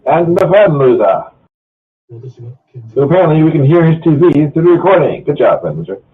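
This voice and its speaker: Husky man on a phone-like voice call. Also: fairly high pitch, sing-song, brisk. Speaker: amateur fan fiction reader. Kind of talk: casual chat and group story reading. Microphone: online voice call. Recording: muffled, phone-like online voice call.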